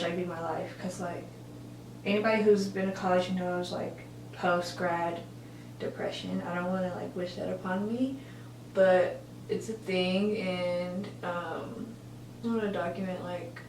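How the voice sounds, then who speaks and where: A woman in her twenties chatting casually while doing her makeup, rambling and correcting herself, with lots of filler words. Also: fairly low pitch. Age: 20s. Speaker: college student vlogger. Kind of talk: casual get-ready-with-me chat. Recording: roomy echo, distant mic, faint hum.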